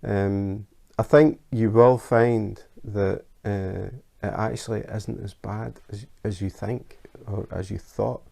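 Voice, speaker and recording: A Scottish man hosting a casual how-to craft vlog, chatty and relaxed, with frequent ums and uhs. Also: lowish voice, slow, gravelly, hardly any pauses. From Scotland. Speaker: YouTube craft channel host. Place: his home in Denmark.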